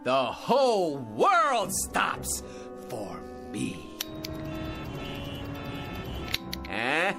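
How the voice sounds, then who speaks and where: An actor playing a brash, boastful American dreamer with cocky hustler patter in a theatrical radio drama style. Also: male voice, medium pitch, huge pitch swings, drawn-out words, over music, full character voice. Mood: gleeful triumph. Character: brash, boastful man, a scheming big-talking dreamer.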